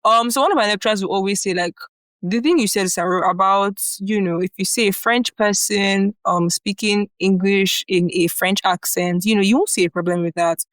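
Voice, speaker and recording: A young Nigerian woman with a Nigerian accent, co-hosting a podcast in a chatty, animated way, often laughing and filling with "like" and "you know". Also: medium pitch, moderate pace, no room sound. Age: young adult. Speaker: podcast co-host. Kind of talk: casual chat.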